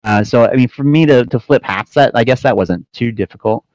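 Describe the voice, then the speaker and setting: A man's voice: mid-range voice, fairly quick, darkish tone, no echo. A man with a mid-range voice chats as a podcast guest. He explains things in a casual, self-correcting way, and the sound is close and dry with a slightly dull, lo-fi edge. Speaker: podcast guest, flight-sim air traffic controller and trainer.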